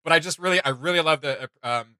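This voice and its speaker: A man's voice: fairly high pitch, quick pace, pushed and bright, clean tone, dry recording, studio quality. A man hosting a reaction video, chatting casually and with enthusiasm.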